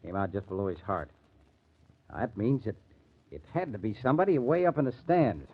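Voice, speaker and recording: A skeptical, probing male investigator in a 1940s radio crime drama. His voice sits fairly low, and the old recording is thin and phone-like. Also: expressive, fairly quick, slightly rough. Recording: tinny, narrow-band old radio recording.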